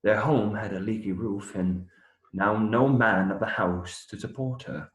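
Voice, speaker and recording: An actor playing a young minstrel, cheery by nature but troubled, earnest and folksy in a radio play. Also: male voice, on the low side, fairly even intonation, a little slow, close to an everyday voice. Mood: grieving. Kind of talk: theatrical radio play acting. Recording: roomy echo.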